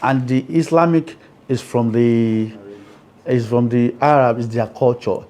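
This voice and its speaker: A man's voice: medium pitch, fairly even intonation, measured pace, darkish tone. A man with a Nigerian Yoruba accent, speaking as an interviewed priest in an emphatic, explanatory way, often checking that he is understood. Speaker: Ifa priest, interview guest.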